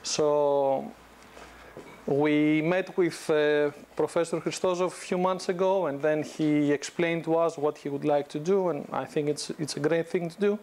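A man's voice: fairly high pitch, measured pace. A man in his thirties from Greece, giving a conversational guest lecture in a university classroom on a lapel mic, with many restarts. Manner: relaxed, conversational, frequent restarts and fillers. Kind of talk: informal business lecture.

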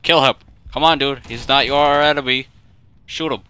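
A young man giving joking, excitable let's play commentary while playing a shooter game. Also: mid-range voice, moderate pace, clear, resonant tone. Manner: goofy, joking, excitable outbursts. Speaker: gaming YouTuber.

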